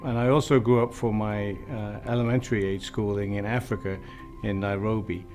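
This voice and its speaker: A middle-aged man from Wales with a slight Welsh accent, a fire chief speaking in a measured, reflective way in an interview. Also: fairly low pitch, level tone, a little mellow, faint music behind. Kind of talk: interview talk.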